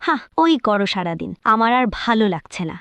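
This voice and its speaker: A woman's voice: a little high, very animated, brisk, fairly bright tone, clean tone, dry recording. A woman voicing a fed-up village housewife in a cartoon, nagging and complaining. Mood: exasperated. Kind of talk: cartoon voice acting.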